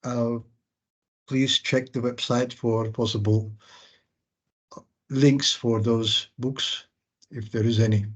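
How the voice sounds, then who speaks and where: A man moderating questions after an academic seminar on a video call, polite and deliberate. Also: mid-range voice, steady tone, husky. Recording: muffled video call audio.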